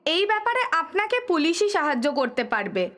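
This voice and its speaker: An actress playing a stern, defensive school principal in an audio drama, formal and crisp in her manner. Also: very high voice, big pitch swings, fairly quick, a bit bright, soft background music, full character voice. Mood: reproachful. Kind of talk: Bengali audio drama acting.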